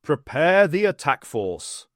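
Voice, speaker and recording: Tentacle-faced alien commander, read by a male narrator: cold menace, measured orders, very dry recording. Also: on the high side, big pitch swings, fairly bright tone, no echo, heavily acted. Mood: menacing. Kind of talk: audiobook character voice.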